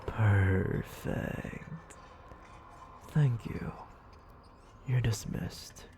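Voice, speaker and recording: A man voicing a cruel, condescending demon lord in an ASMR audio roleplay, murmuring slow taunts at the listener with a breathy, creaky voice. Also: lowish voice, lively intonation, dark tone, a little hiss or hum. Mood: brisk, commanding. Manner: condescending, taunting, languid and deliberate. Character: smug, menacing male demon lord, cruel and toying.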